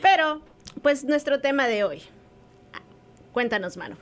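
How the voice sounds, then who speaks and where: A woman's voice: high voice, big pitch swings, a little slow. A woman hosting a casual radio chat, leading the talk and laughing often. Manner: laughs often, leads the conversation. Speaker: radio host.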